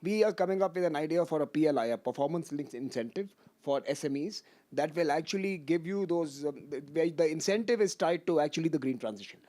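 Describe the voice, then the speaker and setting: A man speaking quickly on a panel, often cutting in. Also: a little high, expressive, warm tone. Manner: rapid, interjecting.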